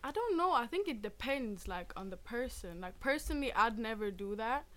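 A woman from Sweden with a Swedish accent, a podcast guest answering quietly and hesitantly in casual chat. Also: fairly high pitch, very animated, normal speed.

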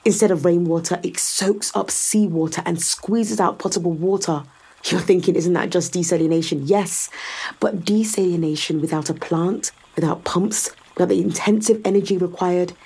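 A woman's voice: lowish voice, fairly even intonation, normal speed, mellow, slightly rough. A woman playing a powerful, calculating executive in a science fiction audio drama, sardonic and controlled as she persuades and explains. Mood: insistent, persuasive.

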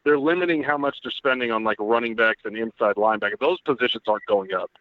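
A man, a guest football writer, explaining salary-cap details in a fast, analytical way on a casual podcast. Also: mid-range voice, dry recording, phone-quality sound.

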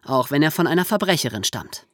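Woman co-host, animated melody, scripted podcast talk, dry studio sound. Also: sits low, brisk, no room sound. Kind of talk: scripted discussion.

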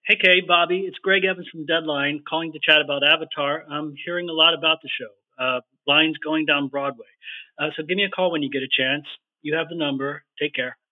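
A man playing a brisk, friendly entertainment reporter leaving a voicemail through a phone filter. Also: on the high side, fairly even intonation. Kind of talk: voicemail message. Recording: tinny phone line, faint noise.

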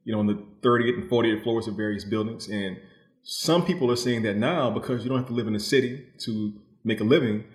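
A man from New Orleans speaking as a guest novelist, explaining his thinking in a measured, reflective way, with a roomy echo on his voice. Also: medium pitch. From New Orleans, United States. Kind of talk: reflective interview conversation. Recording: roomy, echoing sound.

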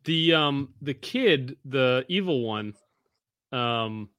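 A man in his forties hosts a podcast, rambling through a story in loose casual chat and cursing as he goes. His voice is bright and forward, and the sound is dry and close, with no room around it. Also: mid-range voice, expressive, extremely slow, clean tone, no room sound. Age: 40s. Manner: rambling storyteller, swears freely. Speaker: podcast host.

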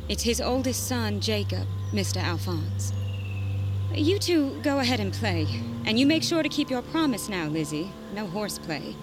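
A woman's voice: mid-range voice, sing-song, moderate pace, background noise, lightly acted. An actress playing a composed, courteous young lady in a historical audio drama. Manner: genteel, courteous.

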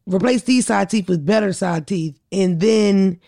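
A woman with a deep, gravelly voice hosts a casual podcast. She tells stories in a blunt, profane, animated way, at an ordinary pitch for a woman. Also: unhurried. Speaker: podcast host. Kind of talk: casual chat.

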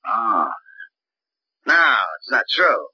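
Bright, husky, cocky American hoodlum. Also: male voice, mid-range voice, very animated, extremely slow, no room sound, full character voice. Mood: defensive. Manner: insolent, wheedling, slangy. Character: cocky, defensive male hoodlum murder suspect under questioning. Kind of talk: 1950s radio drama acting. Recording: dull old radio recording.

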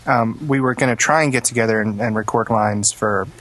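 A man's voice: lowish voice, fairly quick, a bit bright, low-quality recording. A man co-hosting a podcast, telling stories casually in a dry, sarcastic way. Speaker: podcast co-host. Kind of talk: casual chat and storytelling.

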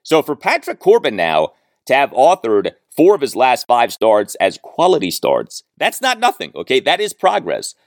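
A man hosting a sports show, giving recap commentary in an emphatic, deliberate way with clipped, stat-heavy phrasing. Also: medium pitch, huge pitch swings, no room sound, clean studio sound. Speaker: sports podcast host.

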